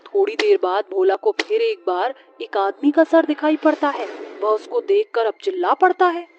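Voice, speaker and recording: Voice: sits high, big pitch swings, normal speed. A storyteller narrating a scripted horror story in the manner of a tale read aloud. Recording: dull lo-fi audio, faint noise.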